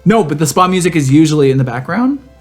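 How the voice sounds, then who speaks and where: A podcast co-host chatting casually, telling stories in an animated, campy way full of slang. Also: medium pitch, fast, soft background music. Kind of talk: casual chat.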